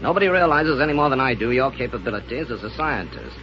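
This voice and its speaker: A ruthless space conqueror , played by a male actor from a 1930s radio serial. He is smug, scheming and menacing, speaking in a commanding way. The sound is muffled and clearly noisy, like an old broadcast. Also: mid-range voice, expressive, fast, natural voice. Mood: persuasive, blunt.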